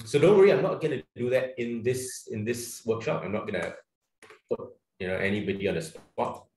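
A man with a Singaporean accent runs an online training session. He talks in a loose, chatty way, full of fillers and restarts, at a middling pitch. His voice comes through with a roomy echo. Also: darkish tone. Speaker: webinar trainer. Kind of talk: casual online workshop presentation.

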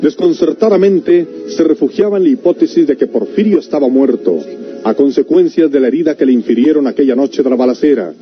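Prominent music plays under a muffled vintage radio recording of a Mexican male narrator. His fairly high voice delivers the dramatic script in a solemn, declamatory style. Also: fairly quick.

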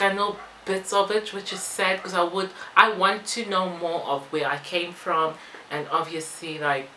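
Female beauty vlogger, 20s. Bright, slightly low voice, rambling and informal, echoey room. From born in Belgium, moved to South Africa. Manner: informal, rambling asides while busy applying makeup. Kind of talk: chatty makeup tutorial and Q&A. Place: small bare-walled room indoors. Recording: echoey room with some background noise.

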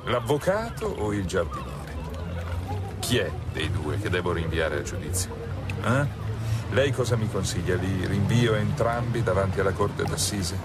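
Animated, courteous prosecutor. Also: male voice, deep voice, measured pace, loud music behind the voice. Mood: troubled, confiding. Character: male public prosecutor, courteous, troubled by doubt. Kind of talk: Italian radio drama acting.

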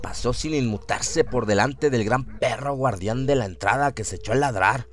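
A man's voice: medium pitch, normal speed, a little hiss or hum. Man narrating a horror radio drama.

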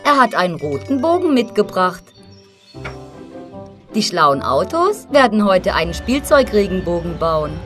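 A woman narrates a children's cartoon with a very animated, swooping melody. Her pace is unhurried, leaving room between simple phrases aimed at small children. Soft music plays behind her. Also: medium pitch. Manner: addresses characters and young viewers with simple, exclaiming phrases. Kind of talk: scripted narration for toddlers.

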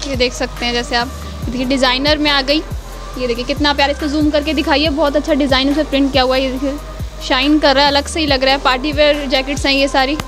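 A woman's voice: high-pitched, flat delivery, fast talker, over music. A young woman as a video reporter in a shop, talking into a handheld mic with gushing, upbeat sales patter. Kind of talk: promotional shop tour. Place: indoor wholesale shop.

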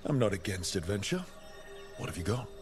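A man playing a cool, willing adventurer hero in a video game, speaking in a calm, understated way. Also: lowish voice, fairly quick, faint music behind. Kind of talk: video game character acting.

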